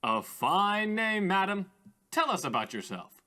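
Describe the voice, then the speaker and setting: A man playing a courteous, theatrical radio call-in host with formal, drawn-out greetings in a radio drama. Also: high-pitched, very animated, pushed and bright, exaggerated voice. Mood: upbeat, courteous.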